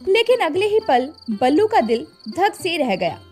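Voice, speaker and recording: A woman narrating a scripted children's cartoon story in a slow, expressive way. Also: extremely high pitch, faint music behind.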